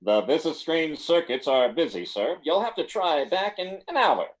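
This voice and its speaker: Male actor, formal polite operator, slightly high pitch, animated intonation, radio drama. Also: normal speed. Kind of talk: live radio drama acting.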